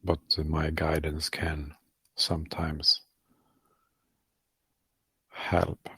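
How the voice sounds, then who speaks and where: A man guides a meditation in a low, creaky voice that barely rises or falls. He talks calmly in short, halting phrases, leaving long gaps between them. The delivery is soft and unhurried. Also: no room sound, studio quality. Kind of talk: guided meditation, softly spoken instruction.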